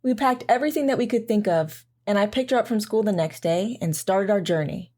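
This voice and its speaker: A woman's voice: mid-range voice, brisk, darkish tone, natural voice. A woman playing a loving, teasing mother in an audio drama, both telling the story and talking with her child. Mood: reflective narration. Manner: reassuring, teasing. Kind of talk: audio drama narration and dialogue. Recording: music under the voice. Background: music.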